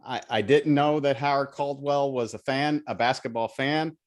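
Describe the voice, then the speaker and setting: A man's voice: mid-range voice, fairly even intonation, moderate pace. A man from Indiana with an American accent, co-hosting a casual chat show in a jokey, rambling way. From Indiana, United States. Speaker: show co-host. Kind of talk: casual chat about favorite books.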